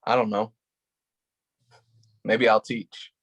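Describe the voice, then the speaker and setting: A man's voice: on the low side, flat delivery, takes it slowly, slightly rough, muffled recording. An actor playing a shaken, grieving male police officer in a staged play reading, raw and intense. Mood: subdued.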